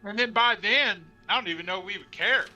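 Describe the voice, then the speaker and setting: Male co-host, high and piercingly bright voice, pushy casual chat, faint music behind. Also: a little fast, highs cut off. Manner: pushy, keeps pressing questions.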